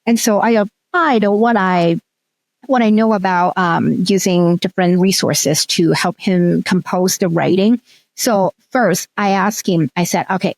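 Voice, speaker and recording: A middle-aged woman from Hong Kong whose first language is Cantonese. She speaks at a mid pitch and recounts stories thoughtfully as an interview guest, often pausing with um and restarting. The sound is dry and close to the mic. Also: dry recording, rough recording. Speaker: researcher and guest. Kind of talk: casual interview storytelling.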